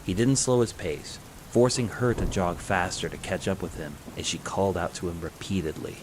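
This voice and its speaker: Low-voiced man narrating a story. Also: normal speed, background noise. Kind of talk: scripted fan fiction narration.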